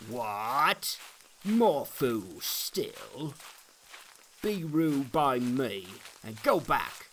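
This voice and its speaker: A man's voice: mid-range voice, sing-song, extremely slow, a bit bright, full character voice. Stubborn male scoffer voiced by a male reader, dismissive, archaic storybook drama, audible noise behind. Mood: scornful. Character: Obstinate, scornful stubborn male neighbour. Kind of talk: dramatic reading of an old allegory.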